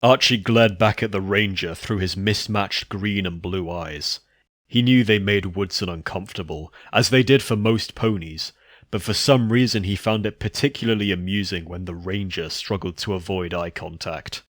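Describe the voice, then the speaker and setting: Male narrator for fiction reading: fairly low voice, close and very dry sound, scripted delivery. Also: normal speed, fairly bright tone, no room sound.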